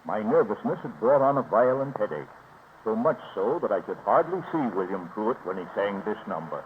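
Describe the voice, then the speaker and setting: A middle-aged composer with a low voice, telling his memories in measured, teasingly drawn-out fashion. It is a 1920s radio drama, thin and narrow in sound. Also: very animated. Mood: suspenseful recollection. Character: famous middle-aged composer reminiscing, unhurried storyteller. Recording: scratchy, narrow-band 1920s radio transcription, noisy.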